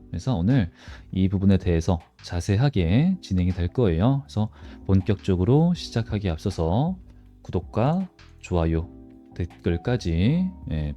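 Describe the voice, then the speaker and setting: A man's voice: fairly low pitch, warm tone. A man hosting a stock analysis channel, explaining informally to viewers and posing questions that he then answers. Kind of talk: informal market commentary to viewers.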